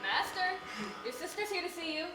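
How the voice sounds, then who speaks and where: A sly young maidservant schemes behind her master's back, played by a woman on stage. Her voice is high and springs all over. It is heard from a distance in a reverberant hall with plain background noise. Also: brisk, loud music behind the voice. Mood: pert. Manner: bright, teasing, animated. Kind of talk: live college stage play. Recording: echoey theater from far off, noisy.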